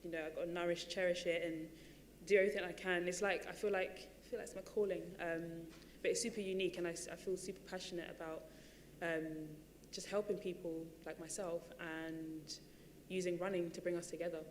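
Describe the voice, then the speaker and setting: A woman's voice: lowish voice, flat delivery, fairly quick, in a large hall, a little hiss or hum. A woman and running coach telling her story at a panel talk, chatty and full of fillers and restarts. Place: large theatre stage.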